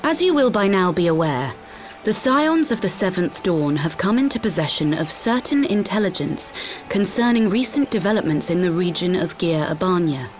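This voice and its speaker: Woman playing a low-voiced, dignified female elder leader. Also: lively intonation, faint music behind, close to an everyday voice. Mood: solemn, stately. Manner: formal, measured, gracious. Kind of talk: fantasy video game cutscene acting.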